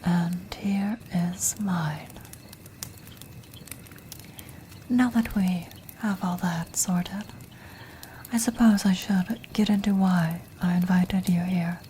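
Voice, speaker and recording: A woman voicing an ancient, commanding vampire countess in a soft, breathy, formal whisper for an ASMR fantasy roleplay. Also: on the low side, steady tone, slight background noise. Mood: composed, formal. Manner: slow, formal, measured, regal.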